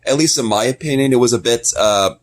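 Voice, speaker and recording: A man's voice: mid-range voice, brisk. Casual guy making an announcement. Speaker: amateur video creator and voice actor. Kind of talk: casual scripted-feeling vlog announcement.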